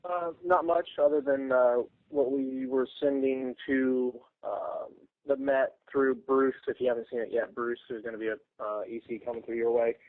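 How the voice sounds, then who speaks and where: A man with a mid-pitched voice gives a work update on a conference call. The sound is thin and narrow, as heard down a telephone line. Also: little pitch movement, normal speed.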